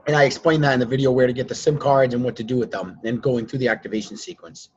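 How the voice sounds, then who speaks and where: A hoarse man with laryngitis hosting a live group call, coaching students in a blunt, lecturing way. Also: mid-range voice, fairly even intonation, fast talker, noisy background. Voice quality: husky, strained. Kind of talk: casual coaching chat. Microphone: online call. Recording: dull lo-fi sound.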